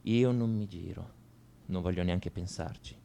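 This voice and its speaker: Un attore che interpreta un liceale tormentato e amareggiato, in un monologo confessionale di radiodramma, con enfasi riflessiva. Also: male voice, sits low, a little slow, vocal fry, warm tone. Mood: tense recounting. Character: brooding male high school student confessing to violence. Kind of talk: radio drama monologue, first-person narration.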